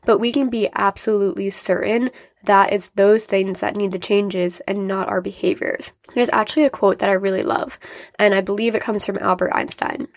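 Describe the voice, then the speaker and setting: A young woman hosts her own podcast, talking straight to her listeners in an upbeat, explanatory way. A sinus infection leaves her sounding a little nasal and congested. Her pitch sits in an ordinary middle range, and the recording is dry with no room echo. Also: fairly even intonation, normal speed. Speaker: podcast host and diabetes coach. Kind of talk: solo podcast talk.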